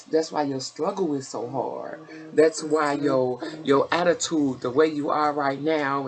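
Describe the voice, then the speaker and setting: A woman, chatting casually as an interviewer in a blunt, familiar and encouraging way. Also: low-pitched. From Chicago, United States. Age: 50s. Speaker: interviewer and host.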